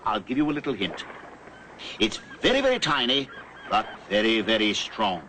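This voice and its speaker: A man's voice: fairly low pitch, wildly swooping pitch, moderate pace, bright, forceful tone, full character voice. A man playing a playful riddle-teller in a preschool puppet show, teasing with emphatic repetition, on slightly noisy old TV footage.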